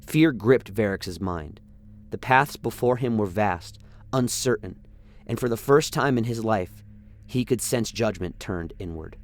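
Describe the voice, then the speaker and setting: A man's voice: fairly low pitch, lively intonation, measured pace. A man narrating a science-fiction radio play in a dramatic, scripted storytelling style. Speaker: narrator, lore reader.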